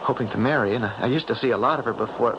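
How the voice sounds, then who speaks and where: A man acts a young sailor home from sea in a radio play. He is earnest and lovelorn, speaking haltingly in a medium-pitched voice. The old broadcast is muffled, with some hiss and noise. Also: close to an everyday voice. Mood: shaken, weary. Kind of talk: radio drama acting.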